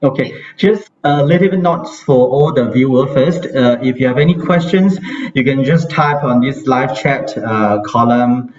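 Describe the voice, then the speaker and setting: A man's voice: medium pitch, unhurried, a little mellow. A man hosting a casual live chat over a video call, talking hesitantly with many fillers and restarts. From Malaysia. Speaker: host. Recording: distant, dull-sounding mic with faint hiss.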